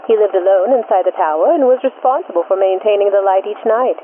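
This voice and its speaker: Female narrator, vintage radio suspense host. Deliberate, measured phrasing, mid-pitched, narrow tinny sound with faint static. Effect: old-time radio filter. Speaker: host and narrator of a mystery show. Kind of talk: scripted suspense narration in the manner of 1940s radio.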